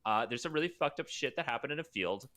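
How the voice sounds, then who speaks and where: Animated man with a bright voice. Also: mid-range voice, quick pace. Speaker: podcast host leading a table read. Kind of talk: casual group discussion.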